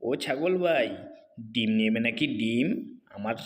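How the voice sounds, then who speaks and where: A man's voice: medium pitch, measured pace, close to an everyday voice. A man voicing a grumpy, boastful cartoon tiger who sells eggs, mocking and scolding his customers. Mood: loud, mocking. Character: grumpy, boastful tiger egg seller, male. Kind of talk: Bengali cartoon character dubbing. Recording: long hall-like reverb.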